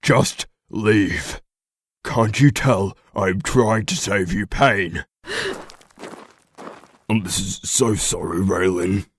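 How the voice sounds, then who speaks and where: A man's voice: deep voice, drawn-out words, pauses often, slight background noise, full character voice. A man playing a troubled, determined pack leader in a fantasy audio drama, speaking formally and earnestly with a commanding manner. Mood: guarded, pained.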